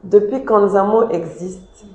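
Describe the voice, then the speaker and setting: A woman's voice: medium pitch, takes it slowly, darkish tone. A woman giving earnest, emphatic interview answers as the founder of a job agency, often repeating her points. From DR Congo. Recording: echoey room.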